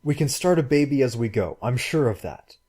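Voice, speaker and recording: A man voicing a frail, fanatic young woman who talks in a halting, wailing way. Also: mid-range voice, animated, darkish tone, pitched above the performer's own voice, close to an everyday voice. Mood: eager.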